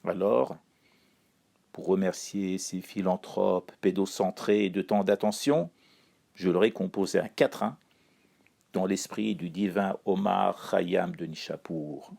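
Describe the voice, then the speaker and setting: Slow, gravelly, dark-voiced man. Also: deep voice, steady tone. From Iran. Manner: wry, ironic, measured delivery. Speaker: chronicler. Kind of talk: read-aloud spoken column.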